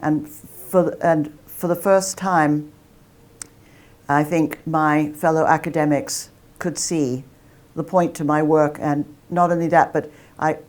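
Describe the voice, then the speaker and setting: Low-voiced woman academic, chatty. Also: fairly even intonation, slow, a little mellow. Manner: chatty, self-deprecating, many restarts. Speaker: academic guest speaker. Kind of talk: lively talk with audience Q&A.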